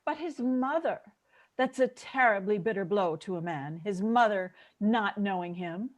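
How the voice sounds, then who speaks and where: A woman playing a stern, motherly angel supervisor in an old-time radio drama, measured and reproving. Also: mid-range voice, lightly acted. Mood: concerned, stern. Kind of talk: 1940s radio drama acting.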